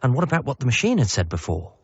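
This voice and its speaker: Eager grown-up son, muffled sound. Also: male voice, mid-range voice, wildly swooping pitch, very fast, darkish tone, breathy edge, dry recording, heavily acted. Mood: reflective, wondering. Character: grieving, eager grown-up son, excitable and apologetic. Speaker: actor narrating radio comedy drama. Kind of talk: first-person dramatic narration and dialogue. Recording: slightly muffled, faint music behind.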